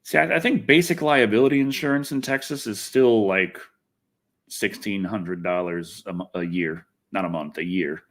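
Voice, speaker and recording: A man's voice: on the low side, moderate pace, fairly bright tone. A man chatting casually on a livestream, rambling and griping in a wry, exasperated, sweary way. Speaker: livestream host. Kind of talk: casual rambling livestream chat, reading comments.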